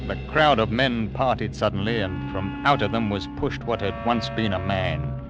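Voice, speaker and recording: An actor playing a stern, uneasy sea captain who tells his tale gravely and tersely in old-time radio style. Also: lowish voice, moderate pace, highs cut off, close to an everyday voice. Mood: grave, haunted. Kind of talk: old-time radio dramatic narration and dialogue. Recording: old radio recording with music behind.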